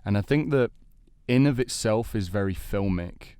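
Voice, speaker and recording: A man hosting and debating on a casual panel show, explaining his picks in a measured way. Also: lowish voice, expressive, dark tone, clear, resonant tone, dry recording, studio quality. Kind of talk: casual panel debate about games and film.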